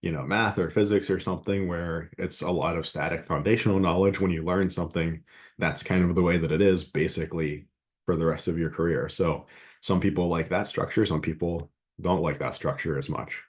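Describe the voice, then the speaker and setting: Low-pitched male lecturer, easygoing and digressive, mildly stuffy from a cold. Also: fairly even intonation, fairly quick. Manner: rambling, conversational, many fillers. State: slight head cold, a bit sniffly and scratchy. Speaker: math instructor. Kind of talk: classroom lecture.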